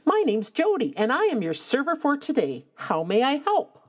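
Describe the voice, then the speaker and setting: A woman's voice: sits high, huge pitch swings, moderate pace, full character voice. A woman playing a chirpy young bartender who now answers calls for the unemployment office. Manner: bubbly, breezy.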